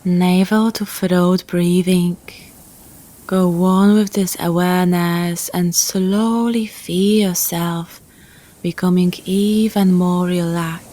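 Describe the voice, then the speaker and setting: A woman guiding a relaxation meditation in a calm, gentle, soothing manner, leaving long pauses between phrases. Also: on the low side. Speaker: yoga nidra guide.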